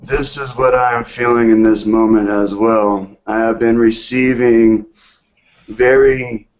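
A man's voice: mid-range voice, fairly even intonation, highs cut off, a little hiss or hum. A man calling in to speak earnestly and hesitantly about his spiritual experiences. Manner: hesitant, earnest, drawn-out phrasing. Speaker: caller. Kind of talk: slow, reverent spiritual talk.

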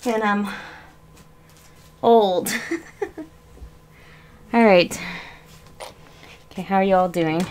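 A woman's voice: fairly high pitch, a little hiss or hum. A woman in her thirties with a slight Arkansas accent, chatting casually on a livestream while tidying her bedroom, rambling and making fun of herself, often moving away from the webcam mic. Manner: rambling, self-mocking, talks while moving things around. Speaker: livestreaming doll collector. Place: small bedroom full of dolls. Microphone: webcam mic, often off-mic moving around.

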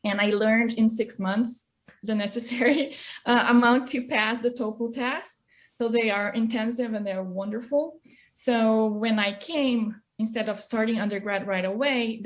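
A woman's voice: on the high side, unhurried. Brazilian-accented woman telling her story. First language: Portuguese. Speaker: interview guest, music educator. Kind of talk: conversational personal storytelling. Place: small indoor room, video call. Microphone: computer mic on video call.

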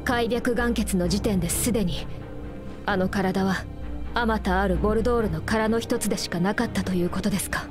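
A woman's voice: mid-range voice, fairly quick, over music, close to an everyday voice. An actress playing a young female leader who speaks in a firm, direct way. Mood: concerned.

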